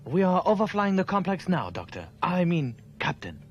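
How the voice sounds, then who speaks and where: A man's voice: sits high, sing-song, moderate pace, dull audio, a little hiss or hum. A man playing a dry, composed, commanding starship captain in a puppet sci-fi series. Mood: assured. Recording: music behind the dialogue.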